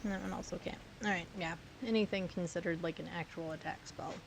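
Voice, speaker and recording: A woman streaming a game, slightly hoarse, talking to herself with dry asides as she plays. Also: lowish voice, steady tone, fast, background noise. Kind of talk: casual gameplay commentary.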